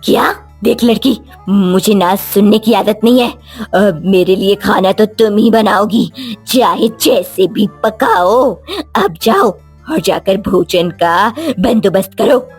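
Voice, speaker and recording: A domineering cartoon witch, acted by a woman, husky and unhurried. She scolds and threatens like a cruel mistress, with faint music behind her. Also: mid-range voice. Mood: bossy, threatening. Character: bossy, cruel witch who enslaves children. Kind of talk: Hindi animated children's story acting.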